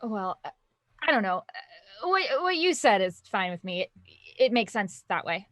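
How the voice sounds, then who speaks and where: An actress playing a timid young actor who voices a shaken heiress, speaking haltingly. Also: female voice, medium pitch, big pitch swings, fairly bright tone, dry recording. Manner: hesitant, halting. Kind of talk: audio drama acting. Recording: dry close studio sound.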